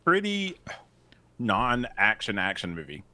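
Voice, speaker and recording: A man with a fairly low voice chats about movies on a podcast, his pitch swooping up and down as he jokes and cuts in. His voice sounds dry and close, with almost no room around it. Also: slow, dry recording. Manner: excitable and joking, jumps in mid-sentence. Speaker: podcast co-host. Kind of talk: casual movie podcast chat.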